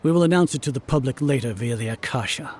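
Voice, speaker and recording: A man voicing a haughty, stern scholar-official in a video game, speaking with pompous, measured disdain. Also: medium pitch, expressive, a little mellow, husky. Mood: commanding. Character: haughty male grand sage, stern scornful scholar-official.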